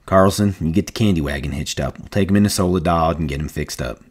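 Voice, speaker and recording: A man's voice: deep voice, fast, voice pushed down. A man voicing a stern, level-headed ranch worker with terse, commanding rustic speech. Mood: grave.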